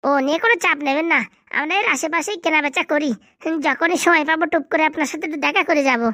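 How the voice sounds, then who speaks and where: A woman's voice: high-pitched, quick pace, pushed and bright, dry recording, studio quality. A woman playing a cheeky, impatient male hospital patient in a comedy cartoon, wheedling and chatty.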